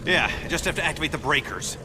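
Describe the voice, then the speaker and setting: An actor playing a terse, impatient superhero from the future, giving urgent matter-of-fact guidance in a video game. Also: male voice, fairly high pitch, animated, quick pace, background noise, natural voice. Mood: urgent, focused. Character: brusque, impatient male futuristic superhero guide. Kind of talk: video game cutscene acting. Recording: loud game music behind. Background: game music.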